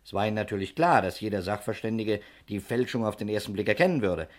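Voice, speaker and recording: An actor playing a shrewd, authoritative investigator in a 1960s German radio crime drama, speaking briskly and methodically. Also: male voice, lowish voice, expressive, lightly acted. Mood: accusing, relentless. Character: shrewd, commanding male private investigator, methodically accusing.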